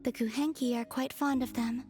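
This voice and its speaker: A woman voicing a serene, gentle young moon goddess in a video game, speaking in a soft, composed, unhurried manner. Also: on the high side, fairly even intonation, music in the background, natural voice. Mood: calm, gentle.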